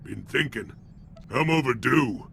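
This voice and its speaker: An actor playing a brutish, bloodthirsty alien gangster in a video game, with a rough voice and crude, sneering street-thug talk. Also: male voice, mid-range voice, expressive, unhurried, pushed and bright, a little hiss or hum, exaggerated voice. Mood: grumbling, menacing. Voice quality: rough, gravelly. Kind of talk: video game character acting.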